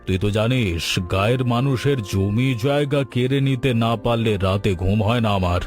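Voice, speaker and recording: A man's voice: medium pitch, normal speed, a little mellow, faint music behind, close to an everyday voice. A man voicing a predatory village moneylender in a folk-tale cartoon, scheming and condescending. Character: predatory male village moneylender who grabs land.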